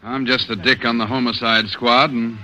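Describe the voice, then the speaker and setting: A man's voice: medium pitch, little pitch movement, moderate pace, sharp tone. A man playing a gloomy, self-doubting homicide detective with blunt slangy speech in a 1940s radio thriller. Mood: glum, defeated. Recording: faintly noisy vintage 1940s radio recording.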